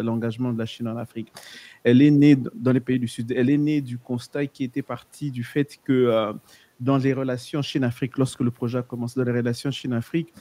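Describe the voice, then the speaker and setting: A man speaking as a panel guest, explaining in a measured way and often stopping to restart or correct himself. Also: mid-range voice, dark tone, dry recording. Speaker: guest, editor of a research outlet.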